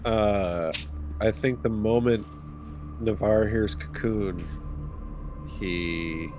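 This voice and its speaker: A man playing a tabletop game, describing his character's actions in a halting, dry way. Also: on the low side. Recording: faint background noise.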